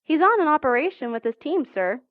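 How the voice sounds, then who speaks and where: A woman playing a brisk, officious police receptionist in an audio drama, heard over a tinny phone line. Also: high voice, sing-song, no echo.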